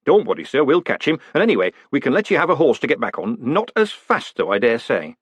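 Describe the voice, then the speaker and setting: Small-town mayor, acted by a male narrator: little bird-like man, eager, folksy, deferential, pitched up, husky edge. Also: wildly swooping pitch, fast, dry recording. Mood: nervous, deferential. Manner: folksy, deferential, repetitive colloquial grammar. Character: short, bird-like provincial mayor, nervous, eager, chummy tradesman.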